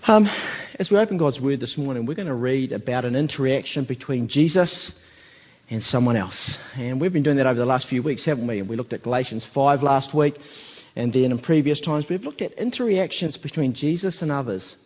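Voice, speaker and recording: Croaky New man, casual stand-in preacher, lots of ums, recorded dull in a reverberant church hall. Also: medium pitch, animated, brisk. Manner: chatty, with many ums and tag questions. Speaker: lay preacher standing in for the pastor.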